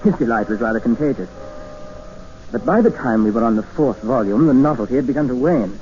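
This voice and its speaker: An actor playing an educated, polite but increasingly desperate captive in a 1950s radio drama. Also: male voice, medium pitch, lively intonation, brisk, mellow, natural voice. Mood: measured narrating. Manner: polite, formal, earnest. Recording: dull vintage radio recording with music.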